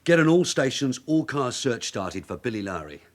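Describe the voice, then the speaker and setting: Shrewd veteran detective sergeant, played by a man, lively pitch. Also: moderate pace, natural voice. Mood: brisk, businesslike. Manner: dryly sardonic, probing questions. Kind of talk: radio drama acting.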